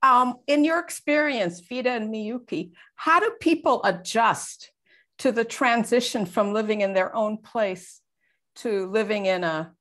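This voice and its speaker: A woman's voice: a little high, measured pace, a bit bright. An older woman, a retired doctor in the audience, asking a question in a polite, hesitant way.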